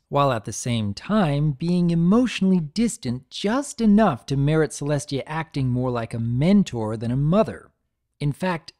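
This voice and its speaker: A man's voice: on the high side, darkish tone. A man narrating and commenting from a script as host, in a theatrical storyteller's manner. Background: background music.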